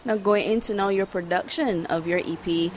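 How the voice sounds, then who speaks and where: A woman's voice: medium pitch, moderate pace, slight background noise. A woman interviewing an artist for a magazine, upbeat and affirming. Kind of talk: casual interview. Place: outdoor arts event.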